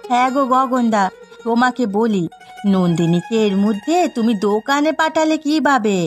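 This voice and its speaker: Outspoken village family friend played by a woman, fairly high, coaxing, cartoon melodrama over light music. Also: moderate pace, lightly acted. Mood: scolding. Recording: music behind the voice.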